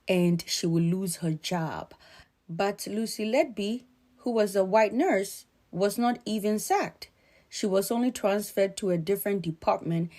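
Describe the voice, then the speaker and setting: A middle-aged woman from Ghana giving earnest, measured, emphatic spoken commentary. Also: lowish voice, a little mellow.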